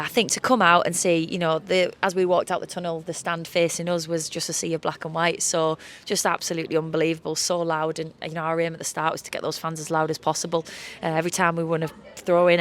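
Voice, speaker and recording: A woman football coach giving a measured, upbeat post-match interview pitchside at an outdoor stadium, with frequent "you know" fillers.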